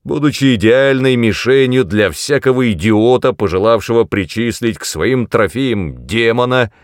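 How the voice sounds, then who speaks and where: A man voicing a vain, explosive male demon mentor in an audiobook, with a gruff, barking, indignant manner. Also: low-pitched, sing-song, normal speed, no echo, studio quality. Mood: sarcastic, irritated.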